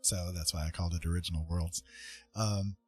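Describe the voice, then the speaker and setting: A man's voice: low-pitched, steady tone, fairly quick, husky. A middle-aged man hosting a casual livestream, talking in an earnest, musing and hesitant way. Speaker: livestream host, artist and writer. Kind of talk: casual reflective livestream chat. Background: music.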